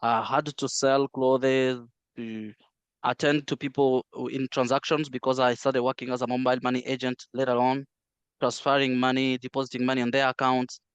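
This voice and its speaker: A man from the Democratic Republic of the Congo whose first language is Swahili, speaking English in an interview, measured and full of "uh" fillers and restarts. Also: medium pitch, flat delivery, clean tone, no echo. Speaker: interview guest, healthcare interpreter. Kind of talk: casual interview, recounting his life.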